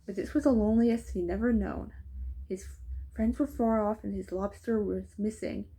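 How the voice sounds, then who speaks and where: A woman's voice: mid-range voice, fairly even intonation, normal speed, mellow, poor audio. A woman reading a rhyming children's story aloud, with casual asides as she goes. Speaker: storybook reader.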